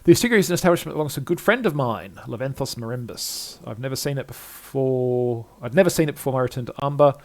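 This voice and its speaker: A man's voice: mid-range voice, expressive, fast talker, hardly any pauses, darkish tone. An older man doing gameplay commentary, reading story text aloud with hesitations and small asides.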